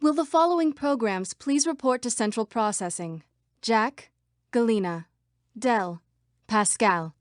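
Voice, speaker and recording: A woman acting a high-pitched female computer program. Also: a little slow, dry recording, clean studio sound, natural voice. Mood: formal, announcing. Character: female computer program citizen, wary, earnest, anxious. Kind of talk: video game voice acting.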